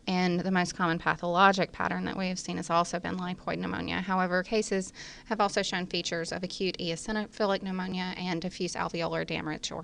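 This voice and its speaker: A woman's voice: on the low side, creaky, fairly bright tone, slight background noise. A woman physician giving medical lecture commentary in formal, technical phrasing.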